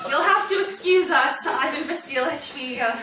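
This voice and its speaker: An actress playing an excitable, argumentative grown daughter, histrionic and exclamatory in farcical stage comedy style. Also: female voice, sits high, moderate pace, lightly acted. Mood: placating. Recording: distant echoey theatre with audience.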